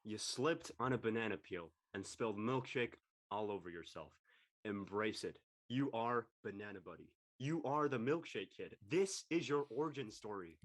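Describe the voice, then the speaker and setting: A man's voice: on the low side, moderate pace, close to an everyday voice. A man playing an upbeat, laid-back teenage boy, a casual, encouraging best friend in a comedy drama. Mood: teasing, encouraging. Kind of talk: comedic scripted audio drama dialogue.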